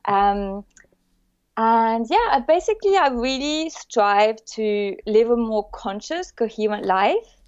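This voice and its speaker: A woman with a French accent, talking as an interview guest. She sounds warm and laughs easily, pausing on fillers as she thinks. The recording is dry and a little dull, as over a video call. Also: on the high side, animated, clear, resonant tone, no echo. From born in the UK, raised in the south of France. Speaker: guest, bio-neuroemotion practitioner. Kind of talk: casual interview chat.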